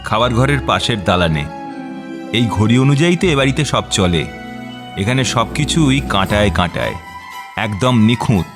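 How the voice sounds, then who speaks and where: Polite middle-aged estate manager, music behind. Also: male voice, lowish voice, expressive, moderate pace, pauses often. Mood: courteous. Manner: polite, obliging, formal. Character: middle-aged, deferential, discreet male estate manager of a grand house. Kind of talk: radio audio drama acting.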